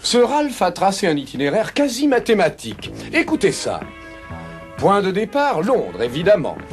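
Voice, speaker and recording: Confident cartoon gentleman, high voice, varied intonation, courteous, precise, music behind. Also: wildly swooping pitch, moderate pace. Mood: precise, methodical. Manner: courteous, assured, enunciating. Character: composed, confident, precise English gentleman, youngish. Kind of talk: dubbed cartoon acting.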